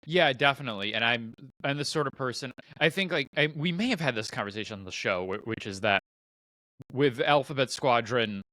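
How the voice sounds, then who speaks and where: A man's voice: medium pitch, brisk, bright, forceful tone, dry recording, poor audio. A man co-hosting a podcast, chatting casually and thinking aloud in a rambling way. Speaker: podcast co-host.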